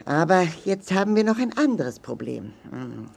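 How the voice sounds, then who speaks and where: Eine Schauspielerin spricht einen freundlichen Zahndoktor in einem Kinderhörspiel, mit rauchiger Stimme, eifrig erklärend, betont und ermutigend. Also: female voice, sits low, big pitch swings, normal speed, vocal fry, a little mellow, husky, full character voice. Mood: thoughtful. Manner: emphatic, explanatory, encouraging. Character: friendly, instructive tooth-care doctor, eager teacher. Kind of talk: children's audio drama.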